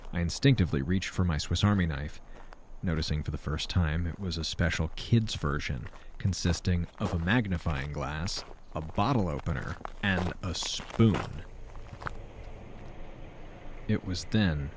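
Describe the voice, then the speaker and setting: An actor playing an uneasy, wry traveler, narrating a science fiction audio drama in a deliberate, self-mocking storytelling manner. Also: male voice, sits low, noisy background, lightly acted. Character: uneasy, wry male traveler narrating a simulation-hopping adventure. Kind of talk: scripted first-person audio drama narration.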